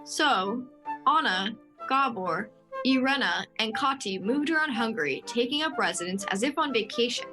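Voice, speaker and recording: A woman narrates in a scripted, dramatic storytelling style. Her voice sits a little high, and faint music plays underneath. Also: animated, moderate pace, poor audio.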